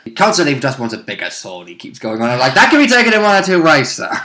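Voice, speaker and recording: A man's voice: mid-range voice, sing-song, brisk, pushed and bright. A man co-hosting a tabletop gaming show in casual chat, jokey and given to chuckling. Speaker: co-host and player in a tabletop role-play tournament.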